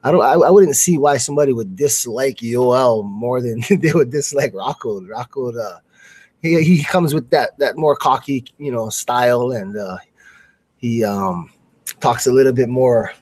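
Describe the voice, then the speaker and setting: A man's voice: medium pitch, unhurried, darkish tone, no echo. A man from the United States co-hosting a live sports show with informal, slangy, conversational talk. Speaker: radio co-host. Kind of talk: casual live sports chat.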